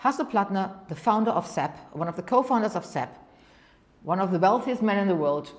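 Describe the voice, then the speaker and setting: A middle-aged woman from Germany speaks English with a German accent, her voice sitting a little low. She tells long, rambling anecdotes and keeps using the filler "kind of go and," doubling back on her words. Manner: talks in long anecdotes, often says "kind of go and", repeats words. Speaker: venture capital partner, guest. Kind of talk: interview storytelling.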